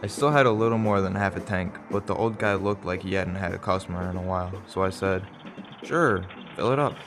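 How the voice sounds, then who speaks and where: A man plays a middle-aged father narrating a radio play in a low voice. His telling is wry and measured. Music plays clearly behind him. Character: wry travelling father telling a strange roadside tale. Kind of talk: scripted first-person radio play narration.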